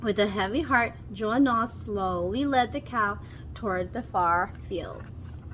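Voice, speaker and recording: A woman reading a folktale aloud as a storyteller, in an expressive children's read-aloud style. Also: medium pitch, takes it slowly, slight background noise, low-quality recording.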